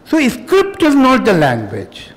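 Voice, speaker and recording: An older man whose first language is Urdu gives a reflective, emphatic public speech at a festival, pausing often and adding wry humor. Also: high-pitched, huge pitch swings. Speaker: festival speaker. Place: hotel hall. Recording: long hall reverb.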